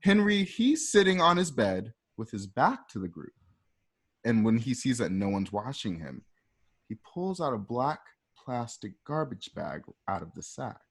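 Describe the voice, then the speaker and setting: A man's voice: mid-range voice, husky. A man narrating scenes of a radio drama informally, like a storyteller reading stage directions in a conversational way.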